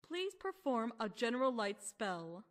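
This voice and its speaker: A woman's voice: on the high side, big pitch swings, measured pace. A woman voicing a regal pony princess, formal and authoritative, overseeing an exam in an audio fan-fiction reading. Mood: formal, solemn.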